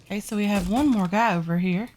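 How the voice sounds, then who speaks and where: A woman's voice: mid-range voice, fast talker, a little mellow, a little hiss or hum. A woman with a Southern US accent talks her viewers through a survival game in a loose, chatty way, sprinkling in ums and calling them guys. Her voice sounds stuffy and congested from a sinus infection. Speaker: gaming channel host. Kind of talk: casual game commentary.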